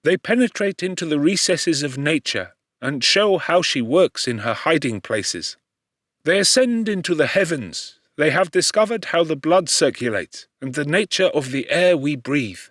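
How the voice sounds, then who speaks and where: A man's voice: a little high, sing-song, normal speed, a bit bright, no echo, clean studio sound. A man voicing an eloquent, kindly chemistry professor in formal, lecturing tones for an audiobook. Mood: fervent, lofty. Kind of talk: audiobook character voice.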